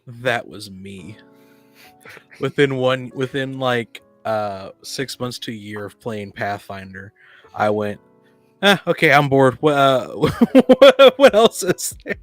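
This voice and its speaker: Man, casual podcast panelist, halting self-interrupting delivery, middle pitch, faint music behind. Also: lively intonation. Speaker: panel guest on a tabletop gaming podcast. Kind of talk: casual chat, personal anecdotes.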